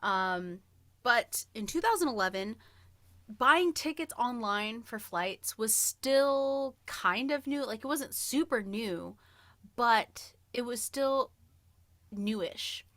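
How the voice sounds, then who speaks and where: A woman's voice: fairly high pitch, expressive, slow, bright, forceful tone, no echo. A woman in her early thirties co-hosting a podcast, telling a personal story in a chatty, playful, self-deprecating way. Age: early 30s. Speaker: podcast co-host.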